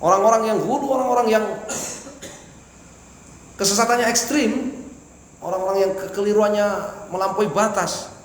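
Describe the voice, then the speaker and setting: A man's voice: high voice, moderate pace, long pauses. Man teaching in an echoey mosque. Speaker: religious teacher. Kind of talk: mosque study lecture explaining a classical text. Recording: echoey hall with faint hiss.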